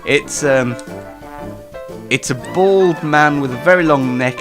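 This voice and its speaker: Male comedy host, slightly high voice, slow-ish rambling self-deprecating delivery, light music underneath. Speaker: show host. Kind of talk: casual comedic solo show presenting.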